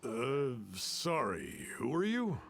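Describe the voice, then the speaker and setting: A man's voice: medium pitch, wildly swooping pitch, slow, gravelly, music in the background, full character voice. A game actor voicing a wary townsman who turns defensive and curt. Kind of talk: game voice acting.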